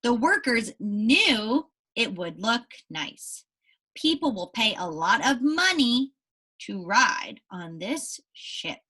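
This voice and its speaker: A woman's voice: a little high, big pitch swings, slow, sharp tone, husky. A woman teacher reading a children's book aloud, explaining it to young pupils in an instructive way with frequent check-in questions. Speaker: elementary school teacher.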